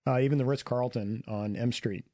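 Male distributor guest, a bit raspy, warm dark tone, dry studio. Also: mid-range voice, steady tone, no echo. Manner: lists places in quick run. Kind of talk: casual talk radio chat.

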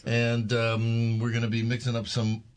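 A man co-hosting a radio show in the studio, with a loud, jokey delivery. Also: completely flat delivery, moderate pace. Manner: booming, jokey. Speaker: radio co-host. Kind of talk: casual radio chat.

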